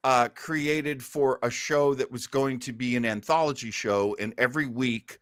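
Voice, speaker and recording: A man's voice: medium pitch, normal speed, dry recording, studio quality. A man hosting a podcast, chatting casually about old time radio in an enthusiastic, rambling storytelling way. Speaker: podcast host.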